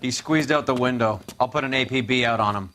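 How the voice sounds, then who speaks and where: A man's voice: mid-range voice, a little fast, fairly bright tone, slight background noise, close to an everyday voice. An actor playing a brash, cynical male police detective in a video game, blunt and slangy. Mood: irritated.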